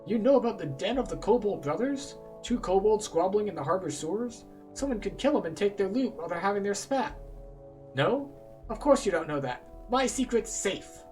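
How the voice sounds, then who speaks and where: A man's voice: sits high, expressive, moderate pace, darkish tone, soft background music, voice pushed up. A man voicing a childish, taunting keeper of a secret in a fantasy game, teasing and evasive in a sing-song way. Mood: teasing, smug.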